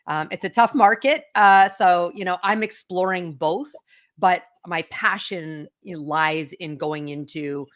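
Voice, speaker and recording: Low-voiced, candid woman executive. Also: measured pace, fairly bright tone. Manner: candid, self-assured, frequent fillers. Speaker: guest executive. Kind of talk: conversational interview.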